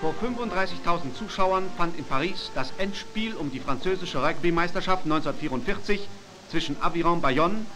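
Old 1940s newsreel guy, formal announcer, pretty high voice, music blaring behind him. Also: a little fast, rough recording. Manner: formal, declarative announcer delivery. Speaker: newsreel narrator. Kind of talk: 1940s German newsreel scripted narration. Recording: 1940s archival newsreel soundtrack. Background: loud music.

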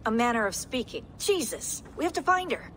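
A blunt, fiery technician. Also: female voice, fairly high pitch, sing-song, fairly quick, fairly bright tone, a little hiss or hum. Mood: exasperated. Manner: blunt, exasperated. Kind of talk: video game cutscene acting.

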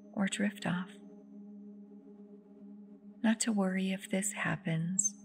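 A woman leading a guided meditation in a soft, soothing, unhurried voice that turns breathy at phrase ends. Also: on the low side. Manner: soothing, unhurried, with long pauses. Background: meditative music with singing bowls.

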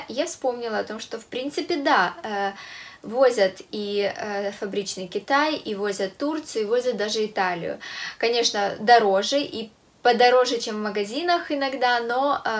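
A young woman vlogging casually to camera in a small room, with a stuffy nose from a cold, talking chattily with many hesitation sounds. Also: a little high. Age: young adult. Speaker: fashion vlogger. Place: small room with a clothes rack, indoors.

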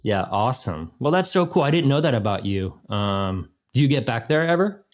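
A man's voice: medium pitch, big pitch swings, moderate pace. A man in his thirties hosting a podcast interview over a video call, chatty and friendly with fillers. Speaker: podcast host. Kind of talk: casual interview.

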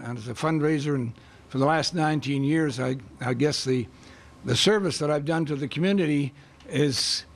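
Older man, loud voice, hesitant, many fillers, slightly high, stand mic, school music room. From Canada. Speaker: guest speaker for seniors. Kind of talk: community meeting speech. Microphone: stand mic close in front.

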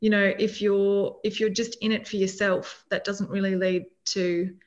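A woman's voice: mid-range voice, little pitch movement, normal speed. A middle-aged Australian woman explaining her social enterprise as an interview guest over a video call from home, earnest and full of "you know"s. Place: home room. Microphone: laptop or webcam mic on video call. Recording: slightly dull video call audio.

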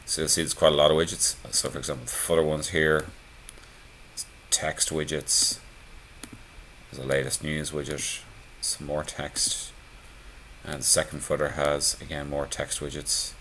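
Very deep-voiced guy walking through a website setup, chill and chatty, lots of ums, bit of faint hiss. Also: unhurried, low-quality recording. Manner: relaxed, conversational, many fillers. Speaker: theme tutorial presenter. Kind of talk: screencast walkthrough.

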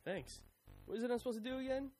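A man's voice: extremely high pitch, fast talker, a little mellow, soft background music. A young man voicing a cocky, wisecracking slacker who talks in laid-back slang. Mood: joking. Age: young adult. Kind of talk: audio drama acting and narration.

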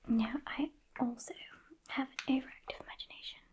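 A young British woman playing a sassy, scatty witch in an ASMR roleplay, chatting and teasing in a soft breathy whisper close to the microphone. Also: on the high side, steady tone, brisk. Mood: chatty, reassuring. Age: young adult. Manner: chatty, teasing, gently bossy. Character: sassy, scatty witch casting sleep spells. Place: small indoor candlelit set.

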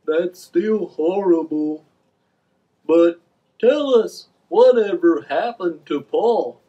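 A man's voice: sits high, expressive, takes it slowly, warm tone, dull audio. A man voicing a curious, simple talking fish puppet with a drawling manner for a children's puppet show. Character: slow, mellow, curious talking fish puppet named Fred.

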